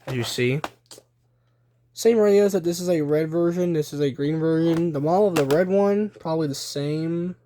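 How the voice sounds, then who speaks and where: A man with a slightly high voice talks casually through a show-and-tell, hesitating and thinking out loud as he goes. It is a handheld home recording with some noise and small handling clicks. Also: moderate pace. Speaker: video creator showing a collection.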